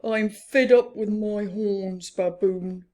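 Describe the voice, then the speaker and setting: A sorry-for-himself rhinoceros from a children's storybook, voiced by a woman. He complains with heavy emphasis, fed up and down on himself. The pace is unhurried. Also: mid-range voice, fairly even intonation, darkish tone, close to an everyday voice. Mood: fed up, complaining. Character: glum self-critical male rhinoceros, wistful and fed up.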